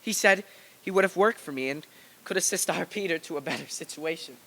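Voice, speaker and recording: A man's voice: a little high, a little fast, gravelly, pushed and bright, full character voice. A man playing a humble, grieving father in an old-time radio play reading on stage. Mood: tender, grateful. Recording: long echo of a hall.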